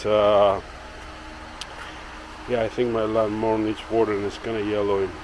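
A man's voice: lowish voice, moderate pace, highs cut off, background noise. A man giving a casual walking tour of his backyard garden and workshop, talking loosely with many fillers while holding the camera. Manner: rambling, filler-heavy, relaxed. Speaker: woodworking channel owner. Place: backyard garden outdoors, then indoor workshop. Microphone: handheld camera held by the speaker.

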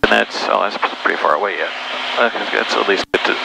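Male private pilot, creaky and brisk, radio phraseology and casual chat, clear engine noise on intercom. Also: on the low side, sharp tone. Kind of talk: clipped radio calls and casual cockpit chat. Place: small propeller plane cockpit in flight. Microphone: aviation headset. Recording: noisy cockpit headset intercom audio.

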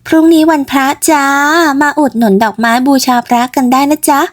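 Woman playing a high-voiced, chirpy young flower seller. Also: brisk, natural voice. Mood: inviting. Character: lively young flower seller, inquisitive and headstrong. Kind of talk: storybook character voice.

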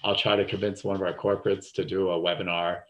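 A man with a New Jersey accent hosts an online webinar in a loose, rambling way, his voice very low. The video call audio sounds a little dull. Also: level tone, fast talker. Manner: loose, rambling, repeats fillers. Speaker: webinar host. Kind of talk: casual webinar talk.

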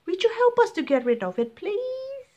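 A woman's voice: very high voice, big pitch swings, normal speed, darkish tone, muffled recording, voice pushed up. A woman voicing a frightened pilot, urgent and pleading, in a children's storybook. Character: frantic frightened airplane pilot pleading for help.

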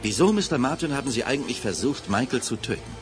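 A stern, gentlemanly man in charge, played by an actor in an eighties German radio play. His mid-pitched voice is formal and authoritative, with very lively intonation. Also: quick pace, music in the background, natural voice. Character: stern, gentlemanly male boss, patient until indignant. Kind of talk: 1980s German radio drama acting.

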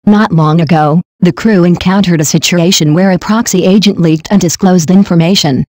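A text-to-speech narrator reads a celebrity news story at a mid pitch, steady and even throughout. The phrasing comes out literal and mechanical, and the voice sits dry and close with no room around it. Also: moderate pace, no room sound.

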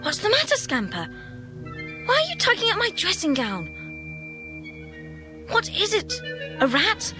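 Eager high-voiced British schoolgirl. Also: huge pitch swings, a little slow, pauses often, music in the background, exaggerated voice. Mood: puzzled, wary. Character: eager, adventurous young schoolgirl. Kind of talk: children's audio drama acting.

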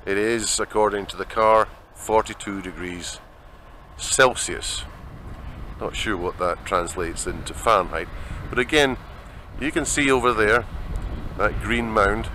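Scottish male vlogger, lowish bright voice, chatty, faint outdoor hiss on a camera mic. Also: a little slow. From Scotland. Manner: chatty, rambling. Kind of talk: walking travel vlog narration. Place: outdoors in open desert.

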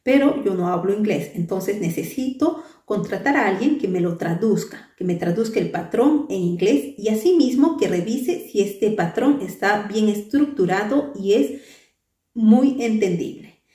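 A woman in her thirties talks to the camera in a patient, explanatory way, dotting her sentences with fillers and little "right?" tags. Her voice is mellow and soft-edged. The sound is roomy and echoey, and she sits a bit back from the mic. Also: medium pitch, lively intonation, measured pace, distant mic. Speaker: crochet channel host. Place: small bright room indoors. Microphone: camera at a distance, head and shoulders framing.

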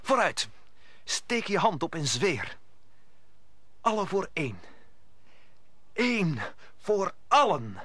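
A gallant young musketeer in a radio drama. His voice is high and ringing, swinging freely as he declaims with earnest resolve. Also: big pitch swings, takes it slowly, long pauses, bright, forceful tone, no room sound, full character voice. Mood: resolute. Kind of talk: radio play acting.

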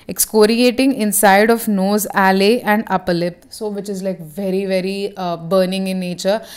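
A woman with a smooth, clear voice of middle pitch, talking close to a podcast microphone. She reads out a short passage, then explains it in a loose, lecturing way. Her talk is full of "uh" and small self-corrections. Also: steady tone, normal speed. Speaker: homeopathy teacher. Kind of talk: reading and explaining a reference text.

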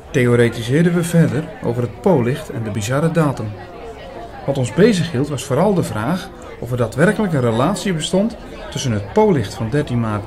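A man playing an earnest older priest who narrates a radio drama in a measured way, with dramatic pauses. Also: mid-range voice, lightly acted. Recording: busy chatter behind the voice. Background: busy restaurant crowd.

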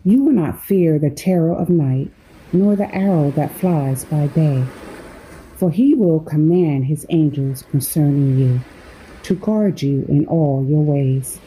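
Low, slow, mellow woman guiding sleep meditation. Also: a little hiss or hum, rough recording. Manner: unhurried, measured delivery with pauses between phrases. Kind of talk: guided sleep meditation with scripture reading.

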